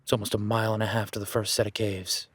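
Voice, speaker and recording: A man's voice: fairly low pitch, little pitch movement, quick pace, breathy edge, no echo. A man voicing an easygoing male cowboy ranch hand in an audio roleplay, talking in a laid-back, folksy way with casual swearing.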